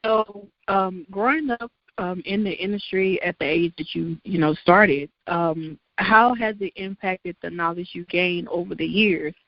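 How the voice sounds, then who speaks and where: A woman's voice: lowish voice, measured pace, hardly any pauses, dry recording. A woman interviewer asking long, rambling questions full of fillers. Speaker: interviewer host. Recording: dull lo-fi, dry.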